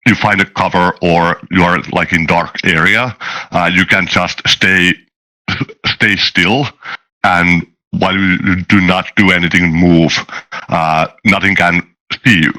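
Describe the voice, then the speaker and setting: A man explaining game design in a casual panel discussion, speaking in a halting way with frequent restarts. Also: low voice, fairly even intonation, pushed and bright, low-quality recording. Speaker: tabletop game designer and game master.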